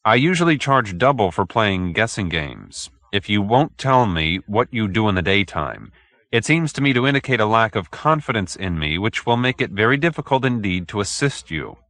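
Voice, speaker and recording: A man's voice: fairly low pitch, sing-song, normal speed, a bit bright, no echo. A man voicing a businesslike, skeptical private detective in an audiobook, blunt and probing with a dry manner. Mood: annoyed.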